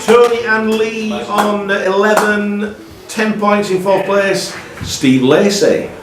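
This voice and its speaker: A man's voice: high voice, takes it slowly. A man hosting a live pub quiz as quizmaster, reading out questions and ruling on answers. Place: pub room. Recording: echoey pub room, distant mic, music behind.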